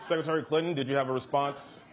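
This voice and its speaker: An actor playing a formal, bemused American debate moderator in a live comedy sketch, measured and straight-faced. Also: male voice, mid-range voice, fairly even intonation, natural voice. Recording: noisy live studio, loud audience behind.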